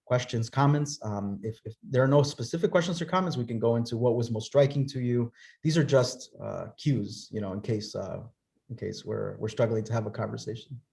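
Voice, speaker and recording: A man's voice: medium pitch, a little fast, gravelly, darkish tone. A man from Nicaragua giving an academic talk and answering questions, earnest and conversational, often checking in with "right?". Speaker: guest speaker.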